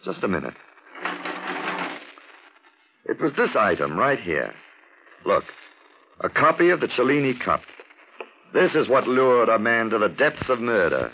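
An actor playing an urbane, erudite detective with florid, gently ironic speech, in 1940s radio drama style. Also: male voice, medium pitch, sing-song, moderate pace, creaky. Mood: assured. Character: suave, erudite gentleman detective, dryly mocking and theatrical. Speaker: detective and narrator. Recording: muffled, noisy 1940s radio recording.

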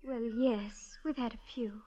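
A woman's voice: on the high side, fairly even intonation, slow, dark tone. An actress playing a naive, petulant, breezily callous young heiress in a 1940s radio drama, girlish and airy. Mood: dreamy. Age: young adult. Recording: dull old radio recording.

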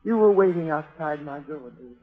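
An actress playing a haunted, anguished young bride driven to murder, faltering and dramatic in 1940s radio style. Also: female voice, sits low, moderate pace, slightly rough. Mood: haunted confession. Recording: muffled old radio recording, music behind.

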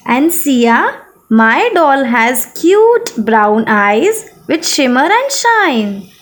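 A woman voicing a cheerful, excited elder sister, a young girl playing with dolls in a children's story, with a bubbly sing-song delivery. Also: sits high, very slow, full character voice.

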